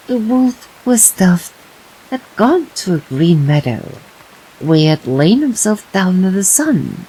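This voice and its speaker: A woman reads fairytales as gentle bedtime narration. Her voice is fairly low and soft-edged with a husky touch, and her slow delivery moves up and down in pitch. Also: poor audio. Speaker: streamer reading fairytales.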